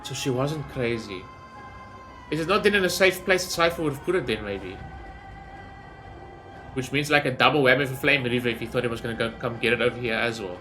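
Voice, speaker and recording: A man's voice: medium pitch, fairly quick, long pauses, pushed and bright, faint music behind. A man doing casual playthrough commentary as a gaming streamer, musing aloud and swearing casually.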